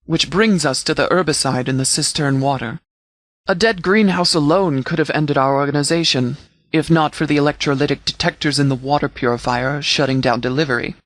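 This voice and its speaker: Man acting formal sheriff, slightly higher-pitched male voice. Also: fairly bright tone, no echo. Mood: matter-of-fact, authoritative. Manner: measured, formal, authoritative. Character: stern, formal sheriff commanding a post-apocalyptic settlement militia. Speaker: sheriff giving briefings. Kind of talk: scripted video game dialogue, military briefing.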